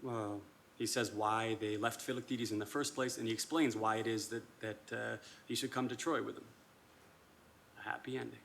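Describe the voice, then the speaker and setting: A man's voice: on the low side, steady tone, moderate pace, vocal fry, a bit bright. A man acting a hesitant, self-doubting army officer who speaks casually and ramblingly in a naturalistic stage play. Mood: earnest, unsure. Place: indoor performance space. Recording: live stage video with background noise.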